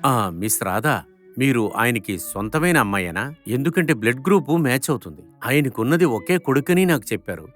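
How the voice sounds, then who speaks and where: Hospital doctor in animated story, male actor: courteous, formal, medium pitch, faint music. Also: a little fast, close to an everyday voice. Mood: questioning. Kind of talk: animated moral story dub.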